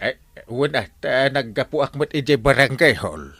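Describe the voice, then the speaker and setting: A man's voice: mid-range voice, moderate pace, bright, forceful tone, no room sound. A man acting a bumbling, evasive village captain in a comic radio drama, stammering and exclaiming with an Ilocano Filipino accent. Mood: evasive, sleepy. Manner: stammering, flustered, repeated exclamations.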